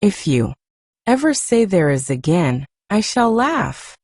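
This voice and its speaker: A woman's voice: mid-range voice, sing-song, takes it slowly, darkish tone, no room sound, studio quality, heavily acted. A woman voicing a fierce, bossy little girl who scolds sharply. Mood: scolding.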